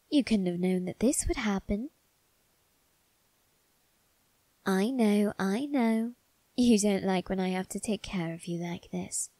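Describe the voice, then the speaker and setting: A woman's voice: medium pitch, no echo. A woman voicing a suave, doting young male vampire aristocrat in an ASMR roleplay, speaking to the listener in a genteel, coaxing, faintly sinister way. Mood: tender, teasing. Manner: genteel, coaxing, softly condescending.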